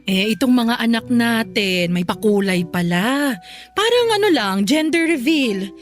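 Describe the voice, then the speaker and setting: A teasing, lively mother. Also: fairly high pitch, a little slow, faint music behind. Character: cheerful teasing mother of bride, middle-aged. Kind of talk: radio drama acting.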